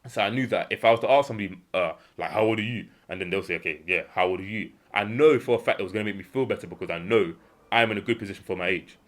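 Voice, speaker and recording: A young man with a British accent talks quickly and earnestly, hammering home his points by repeating them. His voice sits a little low, and he slips into short imagined conversations to make his case. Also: a bit bright. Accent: British English. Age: young adult. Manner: earnest, emphatic, repeats key phrases; acts out imagined dialogue in a lower voice. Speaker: self-improvement vlogger. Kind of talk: unscripted motivational monologue.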